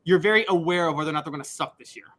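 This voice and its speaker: Thirties guy co-hosting, high bright voice, earnest and laughs easy. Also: racing. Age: 30s. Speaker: co-host. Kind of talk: casual sports talk.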